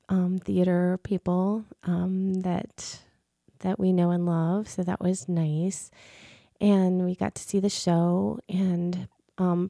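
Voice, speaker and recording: A middle-aged woman hosts a radio show alone in the studio, talking casually with plenty of ums and false starts. Her comes through in a mellow, fairly low voice with a gravelly edge. Her voice is still a little off after illness, and the studio sound is dry. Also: dry recording. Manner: chatty, many ums and restarts. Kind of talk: solo casual radio talk.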